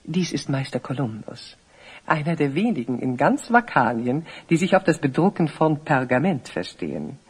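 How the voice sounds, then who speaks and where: An actor playing a dignified, courteous older village host with a breathy, very husky voice and formal speech in a fantasy audio play. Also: male voice, on the high side, big pitch swings, heavily acted. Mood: hospitable. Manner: formal, measured, hospitable.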